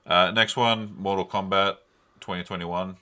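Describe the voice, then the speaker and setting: A man's voice: deep voice, steady tone, measured pace, pushed and bright. A man co-hosting a podcast in casual chat, speaking loosely with slang and swearing. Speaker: podcast co-host.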